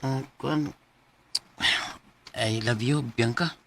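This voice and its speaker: A man's voice: mid-range voice, slow. A man playing a shy young suitor in a radio drama, hesitant and apologetic. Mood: nervous, lovestruck. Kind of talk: Cebuano radio drama acting. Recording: faint background noise.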